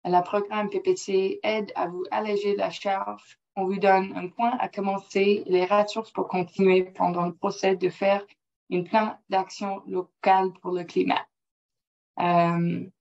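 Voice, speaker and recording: Gravelly woman presenting in a second language. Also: medium pitch, darkish tone. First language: English. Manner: careful, halting, with non-native phrasing. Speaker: project officer presenting. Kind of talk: slide presentation. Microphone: computer mic on a video call. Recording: dull lo-fi call audio.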